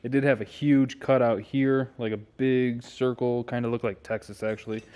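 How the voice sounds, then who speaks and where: A man's voice: mid-range voice, level tone, moderate pace, a little mellow, clear, resonant tone. An American man narrating a garage car-build vlog informally, thinking aloud as he works. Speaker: car builder and video host. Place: home garage.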